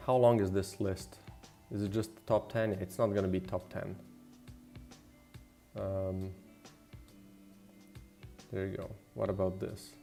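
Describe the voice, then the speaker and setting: A man talks casually on a stream while he works. He rambles and thinks out loud in an unpolished, conversational way. Music plays faintly behind him. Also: low voice, flat delivery, a little slow, creaky, pauses often. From Slovenia. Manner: rambling, thinking aloud, mildly profane. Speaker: game developer and streamer. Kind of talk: casual livestream chat while working. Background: background music.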